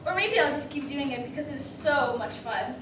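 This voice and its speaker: A woman's voice: sits high, lively intonation, brisk. A woman playing a smug, sarcastic teenage school bully with a taunting, mock-sweet delivery in a reader's theater performance. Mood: gloating. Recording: distant mic in echoey room, faint noise.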